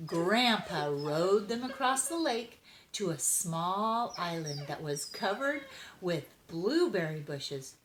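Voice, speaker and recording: Older woman, children's storybook narration, animated intonation, slow pace. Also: on the low side, noisy background. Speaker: storybook reader.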